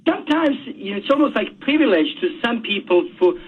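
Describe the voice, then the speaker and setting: A man, a guest author speaking in a radio interview by phone, halting and often repeating words as he searches for them. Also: high-pitched, very animated, slightly rough. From Bosnia. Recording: tinny phone line.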